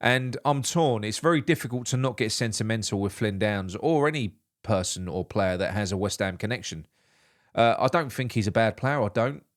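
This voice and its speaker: A man's voice: on the low side, moderate pace. A man, nasal from a blocked nose, hosting a solo live football morning show in a rambling, opinionated way. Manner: opinionated, rambling with frequent restarts. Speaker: football podcast host.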